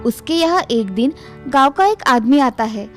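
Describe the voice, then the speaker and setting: A woman's voice: high voice, expressive, moderate pace, faint music behind. A woman narrating a scripted moral story for children.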